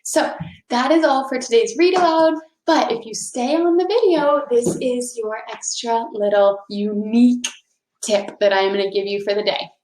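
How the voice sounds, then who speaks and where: A woman hosting a read-aloud for children, speaking in an encouraging, deliberate storytelling manner. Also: fairly high pitch, a little mellow. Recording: echoey, reverberant room.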